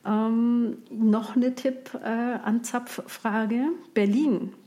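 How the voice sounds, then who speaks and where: A woman's voice: a little high, steady tone, very slow, warm tone. Woman interviewer from Bavaria, light and teasing, casual podcast host. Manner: playful, teasing, laughs easily.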